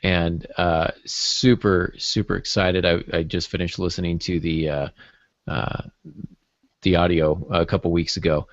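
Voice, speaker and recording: A man's voice: low voice, flat delivery, unhurried, dry recording. A man chatting casually as a podcast co-host, with a wry, joking manner. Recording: dull, muffled lo-fi audio.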